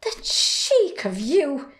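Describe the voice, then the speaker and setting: A woman's voice: high voice, sing-song, drawn-out words, heavily acted. A woman playing an independent young farmer's daughter in an amateur drama, assertive and chiding, with an Irish accent. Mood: indignant. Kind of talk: amateur stage drama acting.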